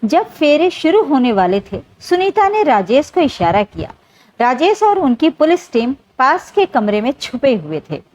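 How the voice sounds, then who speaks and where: High, animated woman telling a story. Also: a little slow. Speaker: storyteller, narrator. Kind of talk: scripted dramatic story narration. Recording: dull, lo-fi sound.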